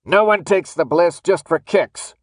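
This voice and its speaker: A man's voice: on the low side, expressive, normal speed, darkish tone, dry recording, close to an everyday voice. A man voicing a callous, sneering masked guard in an audiobook, barking threats and gloating. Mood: angry. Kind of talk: audiobook character acting.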